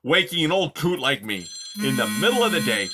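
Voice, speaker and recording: A man's voice: mid-range voice, very animated, a little fast, over music, natural voice. A man voicing a grumpy, scornful old male porcupine who growls and insults, in a storybook reading. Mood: scornful gruff.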